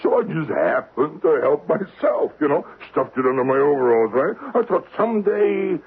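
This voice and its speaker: A man's voice: on the high side, lively intonation, moderate pace, slightly rough. An actor playing a cocky safecracker in an old-time radio drama, folksy and slangy, tagging his sentences with little questions. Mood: confident, slangy. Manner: folksy, slangy, talks in tags like "see?" and "huh?". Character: cocky male safecracker scheming a vault heist. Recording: thin narrow-band old radio broadcast, faint hiss.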